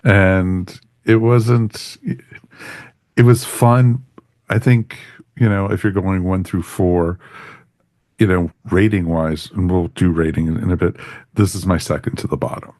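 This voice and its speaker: Low-voiced man hosting, dry sound. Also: steady tone, measured pace, darkish tone, no echo. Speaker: podcast host. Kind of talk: casual moderated review chat.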